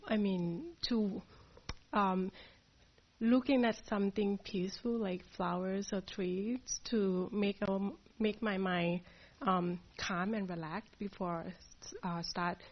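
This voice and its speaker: A woman from Thailand teaching a meditation class, speaking softly and hesitantly with many pauses. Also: mid-range voice. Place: meditation hall. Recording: dull lo-fi sound.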